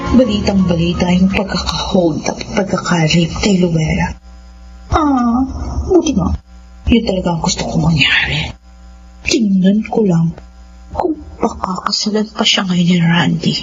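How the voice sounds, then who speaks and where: A woman's voice: fairly low pitch, unhurried, over music, lightly acted. A woman playing a spiteful, scheming, smug villainess in a Filipino radio drama. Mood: gloating. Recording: muffled, noisy radio drama audio.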